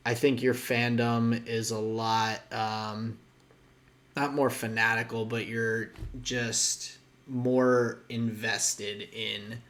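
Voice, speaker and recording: A man's voice: mid-range voice, level tone, slow, a bit bright. A young man co-hosting a podcast, talking in a loose, rambling way with frequent restarts. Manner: rambling, restarts often, guesstimates. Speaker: podcast co-host. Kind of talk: casual chat.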